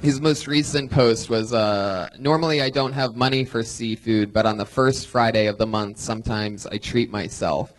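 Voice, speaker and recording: A man in his thirties with a middle-pitched voice hosts a live comedy podcast in a club. He swings from loud, drawn-out announcements into quick, joking chatter with his guests. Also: fairly even intonation. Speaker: podcast host. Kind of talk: hosting a live comedy show. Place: comedy club main room with a live audience.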